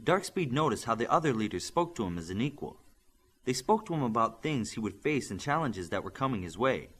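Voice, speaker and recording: A man narrating an audiobook in an expressive, steady storytelling manner. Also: medium pitch, a little fast, breathy edge. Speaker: audiobook reader. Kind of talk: scripted narration.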